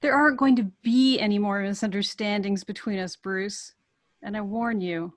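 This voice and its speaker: A woman's voice: mid-range voice, normal speed, lightly acted. A woman reading the part of a bold, determined, flirtatious woman in love at a script table read. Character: bold, determined woman romancing a wealthy bachelor.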